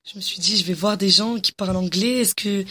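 A young woman from Morocco answering interview questions eagerly, often pausing and restarting her sentences. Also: medium pitch, bright, forceful tone. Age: young adult. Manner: enthusiastic, many hesitations and restarts.

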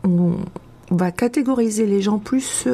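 French-born lady chatting in an interview, dark husky voice, pauses to think a lot. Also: mid-range voice. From France. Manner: halting, thoughtful with long hesitations. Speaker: interview guest. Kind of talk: casual, reflective interview talk.

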